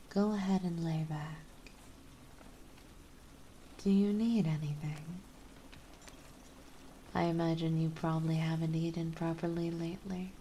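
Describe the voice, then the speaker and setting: Girl acting as a gentle vampire mistress for asmr, mellow n lowish voice, real slow and doting. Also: slight background noise. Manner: soothing, softly spoken, polite, unhurried, doting. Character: gentle, genteel, possessive female vampire mistress. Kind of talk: ASMR roleplay acting, partly whispered.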